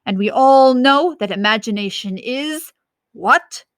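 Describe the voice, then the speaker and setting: A woman voicing a creepy, pompous male dictator in a storybook, commanding and deliberate. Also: on the high side, expressive, no echo, studio quality. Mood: stern.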